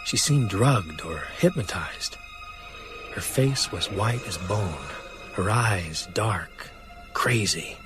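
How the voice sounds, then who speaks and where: An actor playing a sombre survivor recounting a horror story in measured, grave first-person narration. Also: male voice, fairly low pitch, lively intonation, music in the background. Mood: sombre, haunted.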